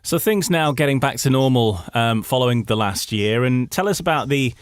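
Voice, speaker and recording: A man's voice: medium pitch, expressive, moderate pace, clear, resonant tone, no room sound, clean studio sound. A man hosting a radio interview in a conversational style, putting questions to a guest.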